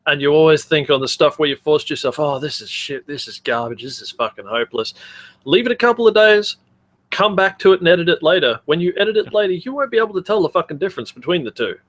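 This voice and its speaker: Male podcast co-host, Australian, a little higher-pitched, blunt, sweary, informal banter. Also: lively intonation, fast, a bit bright. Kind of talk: casual chat.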